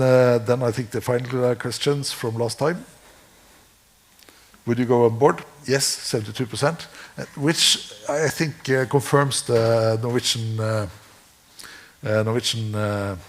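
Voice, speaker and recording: A man from Norway moderating a conference session, talking in halting phrases full of fillers and restarts. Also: medium pitch.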